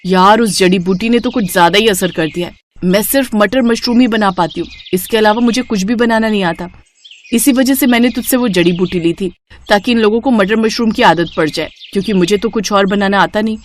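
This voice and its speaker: A woman's voice: mid-range voice, brisk, slight background noise, lightly acted. A woman voicing a sweet young bride in a cartoon story, earnest, polite and anxious to please. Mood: worried.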